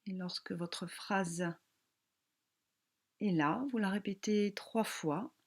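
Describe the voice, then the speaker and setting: A woman yoga teacher guiding a relaxation meditation in a calm, gentle, soothing manner with long pauses. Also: mid-range voice.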